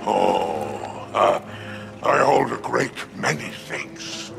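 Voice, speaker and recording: A slow gravelly alien mob boss for a video game, sardonic and greedy, music behind him. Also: male voice, lowish voice, sing-song, exaggerated voice. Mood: sly, sardonic. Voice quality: gravelly, creaky. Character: sly, grumbling alien crime boss, greedy and self-important. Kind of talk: video game cutscene acting.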